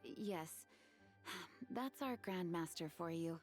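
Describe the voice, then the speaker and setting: An actress playing a patient, weary, courteous woman leader in a video game, unhurried. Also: fairly low pitch, soft background music. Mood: resigned, gracious.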